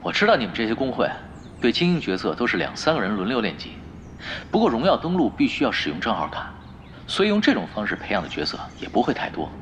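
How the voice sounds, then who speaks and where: An actor playing a laid-back, sly veteran gamer in an audio drama, talking in a casual, needling, nonchalant way. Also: male voice, mid-range voice, wildly swooping pitch, quick pace, fairly bright tone, a little hiss or hum. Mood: nonchalant, scheming. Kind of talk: audio drama acting, conversational. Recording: music bed under dialogue.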